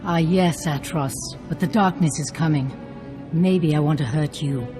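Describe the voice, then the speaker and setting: A woman's voice: sits low, unhurried, music in the background. An actress playing a deranged female Jedi master in a video game, menacing and tormented, with a theatrical delivery. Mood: menacing, taunting. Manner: theatrical, unhinged.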